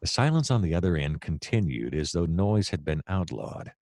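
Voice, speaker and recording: An actor playing a hapless hard-boiled private detective, narrating his case in a wry, deadpan noir radio-play style. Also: low-pitched, normal speed.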